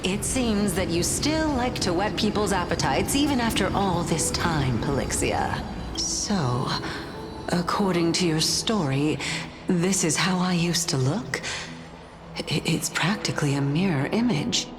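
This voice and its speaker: An actress playing a wise woman scholar in a game, speaking in a measured, thoughtful and wry way. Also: lowish voice, expressive. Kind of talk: game voice acting. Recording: game dialogue over music.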